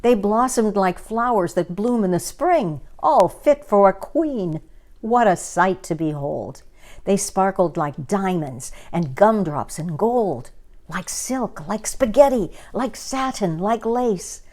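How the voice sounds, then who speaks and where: A woman storyteller reading a children's story aloud in an expressive, dramatic and deliberate manner. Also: medium pitch.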